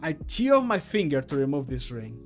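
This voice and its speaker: A man recording voice lines for a male fantasy game character, shouting the combat lines. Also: a little high, sing-song, brisk, faint music behind. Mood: grim.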